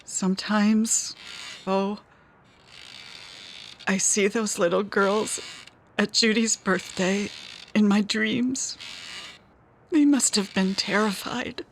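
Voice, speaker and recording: A woman's voice: a little high, a little hiss or hum. An actress playing a haunted, paranoid and protective mother in an audio drama. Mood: brooding.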